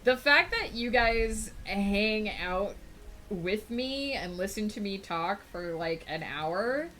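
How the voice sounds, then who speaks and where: A woman livestreamer in casual chat with her viewers, rambling thoughtfully with many fillers and brightening up to greet people in chat. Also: mid-range voice, animated, slow, soft background music. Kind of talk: casual chat with a live audience.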